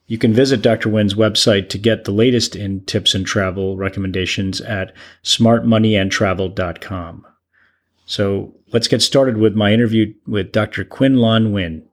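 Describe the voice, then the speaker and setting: A man with a fairly low-pitched voice hosts a podcast. He speaks in a somewhat halting, hesitant manner as he presents and interviews. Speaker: podcast host, physician.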